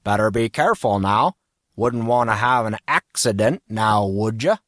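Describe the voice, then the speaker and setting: A man voicing an excitable, teasing teenage boy in an audiobook story, jokey and quick to get indignant. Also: medium pitch, no echo, studio quality, pitched above the performer's own voice. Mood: drawling teasing.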